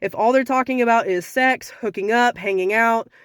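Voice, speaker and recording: A young woman with a slightly raspy voice from sinus trouble, giving frank dating advice in a casual talk to camera. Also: on the high side, normal speed, a bit bright, no echo, studio quality. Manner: frank, conversational, sassy.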